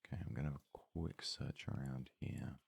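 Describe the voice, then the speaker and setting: A man giving hushed, unhurried ASMR gaming commentary, murmuring as if thinking aloud in a husky, breathy voice. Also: extremely low pitch, fairly even intonation. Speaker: let's play host.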